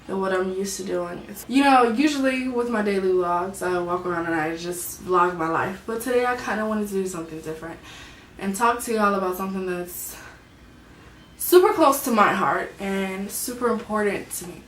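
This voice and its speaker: A woman, vlogging about her own life in a soft, hesitant way, often searching for words. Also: mid-range voice, moderate pace, slight background noise. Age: 20s. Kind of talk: personal confessional vlog. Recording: echoey room, mic at a distance.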